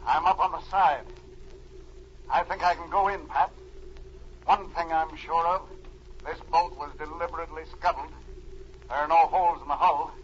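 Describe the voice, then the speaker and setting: An actor playing a brave, decisive special agent and diver in an old radio adventure, reporting in clipped statements. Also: male voice, fairly high pitch, fairly even intonation, moderate pace, long pauses, lightly acted. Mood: focused. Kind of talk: 1940s radio adventure acting. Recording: muffled, noisy old radio recording.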